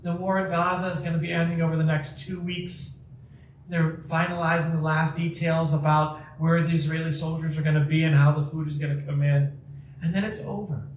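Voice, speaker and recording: Speaker at a community talk, high-pitched man, energetic, roomy distant sound. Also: slight background noise. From Chicago. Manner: energetic, quick asides, frequent restarts. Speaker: guest speaker. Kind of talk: public talk with audience Q&A. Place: community center hall. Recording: echoey hall, mic at a distance.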